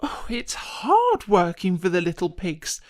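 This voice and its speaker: A woman voicing a big-brother piglet in a children's story, with a drawn-out delivery. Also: fairly low pitch, wildly swooping pitch, voice pushed down. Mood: complaining. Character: slow-talking big-brother boy piglet.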